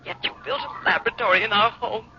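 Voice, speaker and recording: An actress playing a terrified scientist's wife in a 1950s radio drama, speaking in breathless, melodramatic, halting phrases. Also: female voice, medium pitch, close to an everyday voice. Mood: haunted dread. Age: adult. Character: terrified scientist's wife, trapped with giant insects. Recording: muffled, noisy vintage radio recording.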